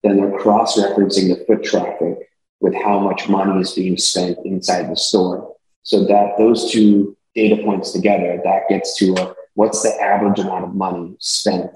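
A businesslike man with a deep, low voice, talking his way through explanations as an interview guest. His voice carries a roomy echo around it. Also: level tone, moderate pace, darkish tone. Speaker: company executive, guest. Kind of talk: casual interview answers.

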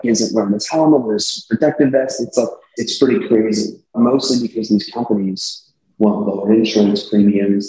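Deep-voiced man in an echoey room. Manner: explanatory, businesslike, relaxed. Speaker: company executive, guest. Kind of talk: casual interview answers.

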